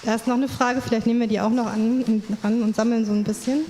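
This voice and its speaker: Woman moderating a panel, flat even voice, hall echo, bit of noise. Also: on the high side, quick pace. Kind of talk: moderating a seminar discussion. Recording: echoey hall with faint noise.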